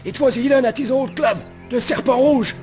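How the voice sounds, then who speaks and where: A man's voice: very high voice, fairly quick, darkish tone, soft background music. A man playing a surly, suspicious old man in a video game, irritable, dismissive and grudging. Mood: conspiratorial. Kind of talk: video game cutscene voice acting.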